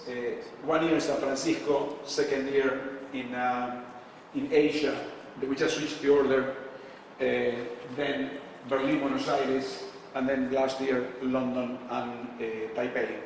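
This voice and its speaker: A man's voice: medium pitch, flat delivery, a little hiss or hum. Man speaking from far off in an echoey hall. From Argentina. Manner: casual, frequent filler pauses, asks the audience questions. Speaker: conference speaker. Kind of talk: live presentation to an audience. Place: event space with a live audience. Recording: echoey hall, distant mic.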